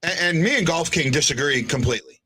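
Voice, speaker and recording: A man's voice: fairly high pitch, lively intonation, quick pace, sharp tone, breathy edge. A man hosting a sports podcast in casual chat, often hesitating, restarting sentences and checking in with "right?". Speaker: podcast host.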